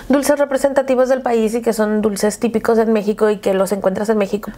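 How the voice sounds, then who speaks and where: A young woman with a Mexican Spanish accent chatting casually to camera as a video blogger, expressive, gushing and emphatic. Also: on the high side. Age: young adult. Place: small furnished room at home with bookshelves.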